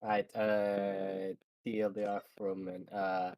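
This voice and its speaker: A man's voice: fairly low pitch, completely flat delivery, slow pace, crowd noise, low-quality recording. A man hosting a casual gaming stream with friends, talking in a rambling, sweary way. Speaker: host of a group gameplay stream.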